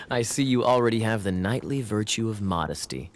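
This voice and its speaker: An actor voicing a suave, teasing knight in a video game, speaking in a wry, theatrical manner. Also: male voice, lowish voice, lively intonation, normal speed, close to an everyday voice. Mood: playful praise. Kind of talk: video game character dialogue.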